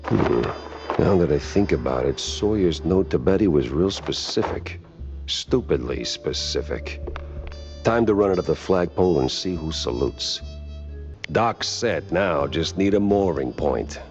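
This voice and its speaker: A low-voiced, world-weary noir gumshoe mouse, with dry sarcasm and lively swings in tone. The sound is slightly dull, with music underneath. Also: male voice, unhurried, full character voice. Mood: dry, musing. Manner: dry, sardonic. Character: weary wisecracking hardboiled male mouse private detective. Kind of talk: noir cartoon game acting.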